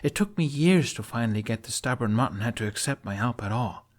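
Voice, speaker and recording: A man's voice: mid-range voice, animated, a little fast, voice pushed up, natural voice. A man voicing an earnest, stubborn young female knight with an emphatic, imploring delivery in an audio story. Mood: earnest, insistent.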